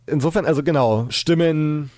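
A man's voice: a little high, normal speed, a little mellow, dry recording. A man chatting casually as a podcast host. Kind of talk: casual discussion.

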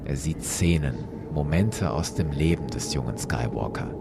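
A man's voice: a bass voice, moderate pace, gravelly. A man hosting a podcast who retells a story with vivid, dramatic narration. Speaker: podcast host. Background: music.

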